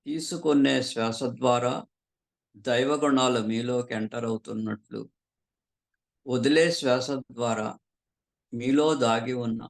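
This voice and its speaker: A man leading a guided meditation as a teacher, calmly and unhurriedly, pausing often between short phrases. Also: mid-range voice, vocal fry. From southern India.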